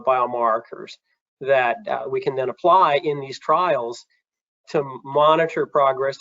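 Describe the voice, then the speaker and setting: A man talks with frequent restarts and fillers on an online panel. His voice sits at a middling pitch and comes through muffled, with a dry, close sound and no room around it. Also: a bit bright, no echo. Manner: halting, many restarts and fillers. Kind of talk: panel discussion. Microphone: video call. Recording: muffled video call audio.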